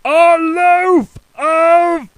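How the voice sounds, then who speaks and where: A man voicing a loud, booming cartoon character who bellows every line at full volume. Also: fairly even intonation, extremely slow, dry recording, heavily acted.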